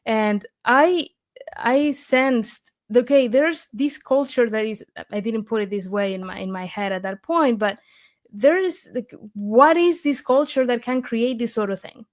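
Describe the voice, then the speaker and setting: A woman's voice: on the high side, a little slow. A young woman from Argentina, a guest in an interview, speaking earnestly and from the heart, with many fillers and restarts. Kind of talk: conversational interview.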